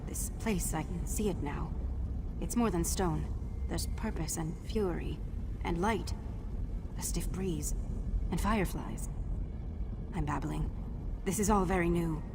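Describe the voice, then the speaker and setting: A woman's voice: lowish voice, measured pace, creaky, noisy background. A woman playing a brave, wry warrior and protector in a fantasy game, with a dry, understated manner. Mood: wry, subdued. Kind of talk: fantasy game dialogue, naturalistic acting. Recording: orchestral game music behind.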